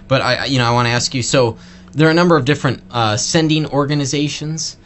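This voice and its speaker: A man hosting a podcast interview, asking curious, loose questions in quick bursts, with many fillers. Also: mid-range voice, fairly bright tone, a little hiss or hum. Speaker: podcast host. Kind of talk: casual interview talk.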